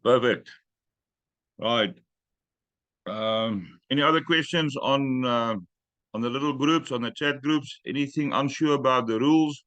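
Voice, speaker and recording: A man hosting an online training call, giving brisk instructions with joking asides. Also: medium pitch, a bit bright, no room sound.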